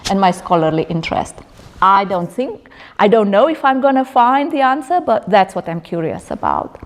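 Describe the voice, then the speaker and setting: A woman's voice: medium pitch, darkish tone. A middle-aged woman from Romania presenting her doctoral research at a conference, speaking earnestly with frequent fillers and restarts. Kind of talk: academic conference talk. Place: conference room.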